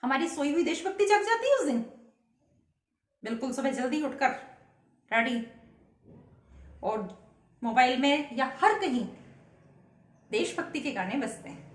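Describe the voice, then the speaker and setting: A woman's voice: sits high, sing-song, unhurried, pauses often, roomy sound. A woman vlogger giving an earnest patriotic speech to camera, asking many rhetorical questions.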